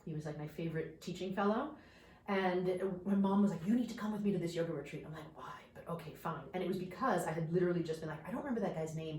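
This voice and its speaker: Thirties woman from New York, candid storyteller, lowish voice, a bit distant in an echoey room. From New York suburbs. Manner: candid, reflective, animated at times. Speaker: guest, life coach. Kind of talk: personal storytelling in interview. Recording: echoey room, a bit off-mic, faint noise.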